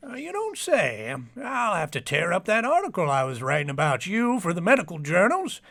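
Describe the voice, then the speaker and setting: A man playing a gravelly, high-pitched, pompous doctor. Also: wildly swooping pitch, normal speed, fairly bright tone, no room sound, full character voice. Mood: wry. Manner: florid, mock-formal, needling. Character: pompous, insult-trading doctor in radio comedy. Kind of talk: old-time radio comedy acting.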